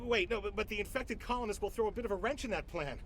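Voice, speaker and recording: A desperate corporate villain for a video game. The voice is high and sharp, agitated and prone to stammering. Faint noise sits behind it. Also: male voice, fast. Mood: flustered, cynical. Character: jittery male corporate boss villain, desperate, snappish, cornered.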